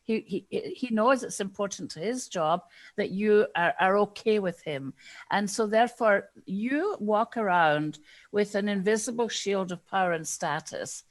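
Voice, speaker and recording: A woman with a Scottish accent, a guest expert in an interview, explaining ideas in a measured way and acting out the inner questions of her examples. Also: mid-range voice. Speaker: guest expert on diversity and inclusion.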